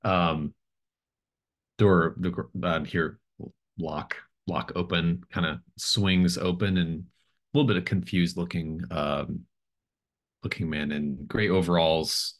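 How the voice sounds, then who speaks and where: A middle-aged man improvising narration as game master, thinking aloud in halting phrases. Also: low-pitched, steady tone. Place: home room with bookshelves, video call.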